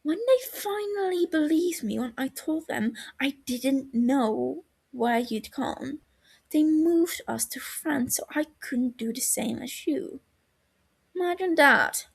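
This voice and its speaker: A woman voicing a bitter, wounded younger brother in an audio story, heated and scornful, breaking into breathy hurt. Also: sits high, expressive, takes it slowly, pitched above the performer's own voice, exaggerated voice. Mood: bitter, anguished.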